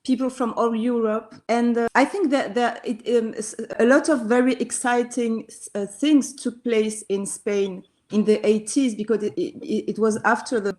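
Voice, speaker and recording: Middle-aged woman, her voice sitting a little high. She talks hesitantly as an interview guest, restarting her sentences while she hunts for the right English words. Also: steady tone, normal speed, hardly any pauses, darkish tone. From Belgium. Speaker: interview guest, actress and singer. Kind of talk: casual interview chat.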